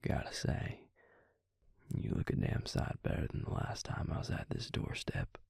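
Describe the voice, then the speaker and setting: A man playing a gentle, courteous Southern vampire in an intimate audio roleplay, with a slow, soothing drawl and Southern US accent. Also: dry recording, studio quality, close to an everyday voice. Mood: wry, courteous. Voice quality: low, gravelly, breathy near-whisper. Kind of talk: intimate audio roleplay acting addressed to the listener.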